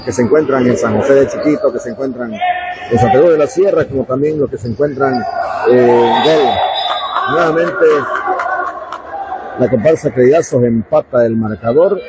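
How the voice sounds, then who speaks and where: A man's voice: medium pitch, moderate pace, pauses often, over a noisy crowd. A man with an eastern Bolivian Spanish accent giving live radio commentary on a futsal match, talking conversationally to listeners. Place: indoor coliseum sports court, from the stands. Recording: very noisy live sports hall. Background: loud ambient noise of a futsal match.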